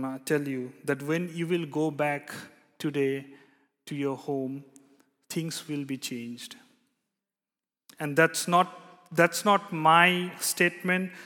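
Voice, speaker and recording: Slow Indian-born preacher in a reverberant hall. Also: male voice, a little high, a bit bright. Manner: halting, many fillers and restarts. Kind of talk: sermon with prayer. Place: church hall.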